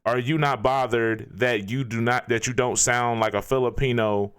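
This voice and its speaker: A man's voice: medium pitch, steady tone, moderate pace, fairly bright tone, clear, resonant tone. A man hosting a reaction video, ranting in disbelief and firing off rhetorical questions. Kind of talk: casual reaction commentary.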